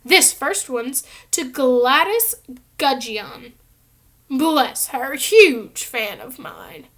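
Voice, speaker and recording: A woman voicing a vain, self-satisfied wizard teacher who gushes loudly about his own fame. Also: high voice, big pitch swings, very slow, heavily acted. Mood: boastful. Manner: gushing, self-satisfied, loud. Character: vain, pompous celebrity wizard teacher, adult man.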